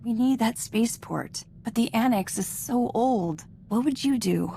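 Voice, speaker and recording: A woman's voice: a little high, animated, a little slow, creaky, warm tone, slight background noise. An actress playing a modest, eager young female Jedi apprentice in a video game, earnest and a little hesitant in a conversational way. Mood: thoughtful, earnest. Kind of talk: scripted video game voice acting.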